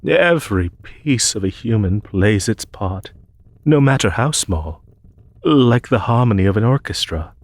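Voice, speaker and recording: A man playing a solemn, wistful demon emperor in an audio roleplay, speaking in a husky voice with slow, measured, sorrowful pauses. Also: lowish voice, lively intonation, dry recording. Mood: reverent. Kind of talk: scripted audio roleplay monologue.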